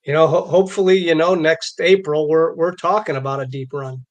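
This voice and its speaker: A man's voice: a little high, fairly even intonation, brisk. A man co-hosting a sports show with casual chat, often repeating words as he goes. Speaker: co-host of a sports card live stream.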